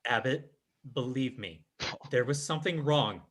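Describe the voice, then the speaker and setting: An actor playing a scheming, defiant fake psychic in a live old-time radio drama, with a theatrical, formal delivery. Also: male voice, medium pitch, animated, a little slow. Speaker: stage actor in radio play.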